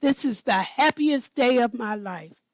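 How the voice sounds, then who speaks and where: A woman voicing a patient, proud, gentle old grandfather in a children's storybook reading. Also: a little high, lively intonation, measured pace, no echo, poor audio.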